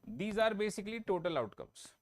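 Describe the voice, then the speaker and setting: A man, his voice sitting a little high, teaches math over his own microphone. He works through each step slowly, says key points twice and keeps asking his class whether they follow. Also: animated, slight background noise. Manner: explains step by step, repeats and checks with students. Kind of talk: online exam-prep class. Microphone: own microphone, online class.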